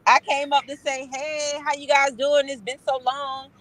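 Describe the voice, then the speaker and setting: A woman's voice: high voice, little pitch movement, moderate pace, fairly bright tone, dry recording, rough recording. A woman in a casual chat on a live stream who is playful, teasing and laughs often. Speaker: guest on a stream.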